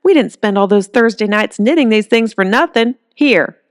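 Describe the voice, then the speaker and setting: A woman's voice: on the high side, expressive, moderate pace, dry recording, studio quality, pitched above the performer's own voice, natural voice. A woman voicing a tough, bossy, foul-mouthed granny who barks folksy orders in an audiobook. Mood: commanding.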